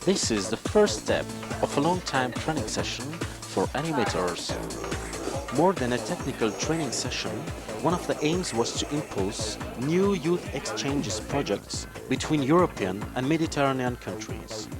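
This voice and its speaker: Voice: medium pitch, lively intonation, moderate pace. Narrator over music. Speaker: documentary narrator. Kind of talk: scripted narration.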